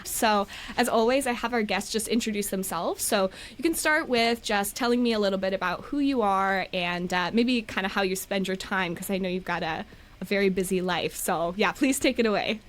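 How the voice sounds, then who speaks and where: A woman's voice: medium pitch, moderate pace. A woman hosting a podcast, talking in a chatty, friendly interview manner. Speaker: podcast host. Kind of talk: casual interview.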